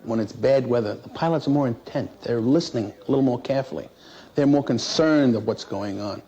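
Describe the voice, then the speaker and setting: A man who works as an air traffic controller recounts his experience in an interview in a halting, plain-spoken, matter-of-fact way. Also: medium pitch, dark tone, highs cut off. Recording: noisy 1960s interview recording.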